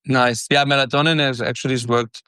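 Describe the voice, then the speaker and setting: A man's voice: medium pitch, steady tone, fairly quick, bright, forceful tone, clear, resonant tone, no room sound. A man hosting a business podcast, chatting easily with his guest and often restarting his sentences. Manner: chatty, friendly, stumbling restarts. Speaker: podcast host. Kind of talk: casual podcast interview.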